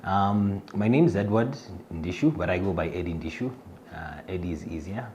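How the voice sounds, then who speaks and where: A middle-aged man with a Kenyan accent talks about himself in an interview, his voice very low. He pauses often with fillers and restarts his sentences, chuckling a little at himself. A faint hum of noise sits under the recording. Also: expressive, a little mellow, lo-fi sound. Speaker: fintech executive. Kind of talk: self-introduction in an interview.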